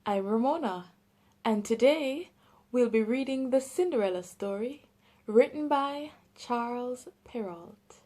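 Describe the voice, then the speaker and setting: A woman with a Jamaican accent, reading a children's story aloud with careful, deliberate enunciation. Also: fairly high pitch, lively intonation, dark tone.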